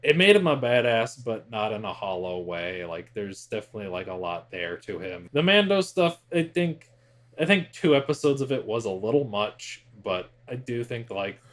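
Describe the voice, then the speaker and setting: A man's voice: fairly low pitch, big pitch swings, moderate pace. A man co-hosting a casual pop-culture podcast, speaking informally and bluntly in loosely worded opinions with some swearing. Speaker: podcast co-host.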